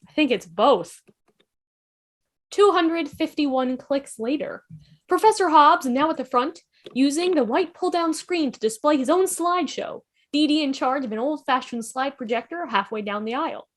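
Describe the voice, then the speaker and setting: High-voiced woman narrator. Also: moderate pace. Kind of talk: scripted narration of stage directions.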